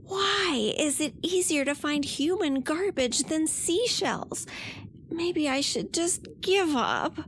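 A woman voicing an eager, sweet young octopus child in a children's audio drama, with a high, childlike voice. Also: lively intonation, slow pace, heavily acted. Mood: weary, discouraged.

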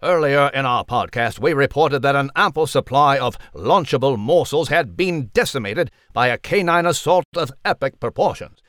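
A man's voice: mid-range voice, expressive, normal speed, few pauses, a bit bright, no room sound, studio quality, close to an everyday voice. A man voicing a prim, courteous, wordy mouse newsreader with a British accent for a children's podcast. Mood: pompous, earnest. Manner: pompous, wordy, formal. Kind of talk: mock news report in a children's podcast.

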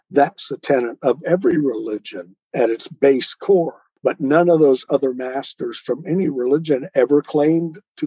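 A man giving explanations as an interview guest, deliberate, declarative and didactic in manner. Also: medium pitch, warm tone, husky, no echo, muffled recording.

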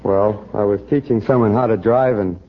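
An American man answering casually as a quiz show contestant, halting, sheepish and low-key. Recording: dull, noisy old radio recording.

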